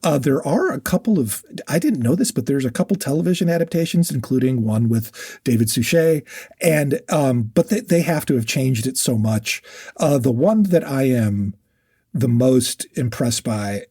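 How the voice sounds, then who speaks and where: A man with a warm, relaxed, slightly rough voice co-hosts a book podcast. He explains things conversationally and stresses his opinions by repeating them. The sound is dry, with no room to it. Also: mid-range voice, moderate pace, dry recording. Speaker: podcast co-host. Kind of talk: casual book chat with explanations.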